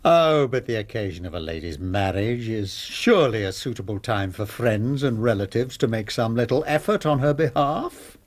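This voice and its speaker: Actor as an oily, smug English blackmailer. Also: male voice, mid-range voice, expressive, normal speed, few pauses, lightly acted. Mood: mock regret, menacing. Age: middle-aged. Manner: mock-polite, pompous, theatrically regretful. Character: smug, oily, small stout middle-aged master blackmailer. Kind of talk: British radio drama acting.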